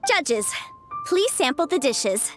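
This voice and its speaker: A woman's voice: high-pitched, very animated, takes it slowly, music in the background, heavily acted. A woman playing a composed master of ceremonies in a video game, announcing formally. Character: poised female master of ceremonies at cooking contest. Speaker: contest host.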